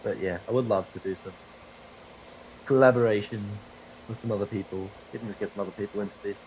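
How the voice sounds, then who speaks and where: A young man with a cold and a hoarse, fading voice, rambling profanely and mocking himself while commentating a driving game. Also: lowish voice, steady tone, fast talker, darkish tone, a little hiss or hum, poor audio. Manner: profane, rambling, self-deprecating. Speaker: gaming video creator. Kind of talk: casual gameplay commentary. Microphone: desktop microphone.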